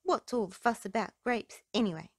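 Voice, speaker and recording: A woman's voice: a little high, moderate pace, dry recording, clean studio sound, pitched above the performer's own voice. A woman voicing a thirsty, proud fox in a storybook, with a haughty, self-muttering manner. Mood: sniffy disdain.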